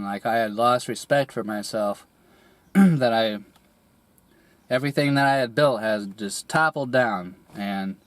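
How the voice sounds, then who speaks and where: A young man getting over a cold, his voice a little raspy, telling a personal story slowly with many pauses, fillers and throat clearing. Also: medium pitch, no echo. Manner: hesitant, rambling, many fillers and restarts, clears throat often. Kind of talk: candid personal monologue.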